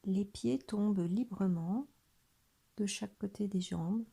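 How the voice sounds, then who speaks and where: A woman guiding a body scan meditation in a soft, breathy, near-whispered voice, gentle and soothing, leaving long pauses. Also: medium pitch. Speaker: meditation guide.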